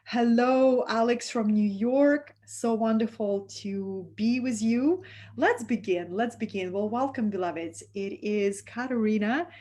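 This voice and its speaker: A woman from Russia with a Russian accent, hosting a live-streamed spiritual talk and speaking softly and affectionately to her viewers. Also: on the high side, measured pace. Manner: soft, affectionate, reads out viewer comments.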